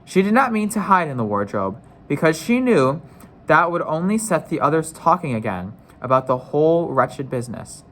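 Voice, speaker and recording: A man's voice: fairly high pitch, sing-song, moderate pace, fairly bright tone. A man narrating a children's storybook as a read-aloud, careful, with the odd stumble and re-read phrase. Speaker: story reader.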